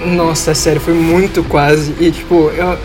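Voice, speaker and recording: A young Brazilian man with a fairly high voice chats casually as a podcast panelist. He rambles along in loose slang, with faint music behind him. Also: level tone, a little slow. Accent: Brazilian Portuguese. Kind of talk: casual chat.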